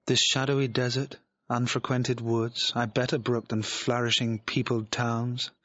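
A husky, lovelorn exiled gentleman. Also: medium pitch, little pitch movement, measured pace, no room sound. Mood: pensive, yearning. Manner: reflective, declamatory. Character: lonely, lovelorn exiled young gentleman, pensive. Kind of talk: classical Shakespearean soliloquy acting. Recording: dull, lo-fi audio recording.

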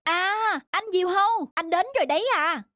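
A woman voicing a timid, trusting male rooster in a children's animated folktale, naive and exclaiming. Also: very high-pitched, moderate pace, slight background noise. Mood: friendly.

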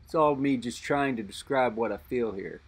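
A middle-aged man talking unscripted about a vintage lighter he holds, musing aloud and pausing to think as he describes it. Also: medium pitch, vocal fry, breathy edge. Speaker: lighter collector and reviewer. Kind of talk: unscripted show-and-tell narration.

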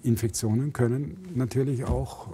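A man's voice: medium pitch, fairly even intonation, normal speed, dark tone, slightly rough. A man in his fifties, a medical expert guest explaining things conversationally in a studio interview. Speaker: hand surgeon, expert guest. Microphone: clip-on lapel mic.

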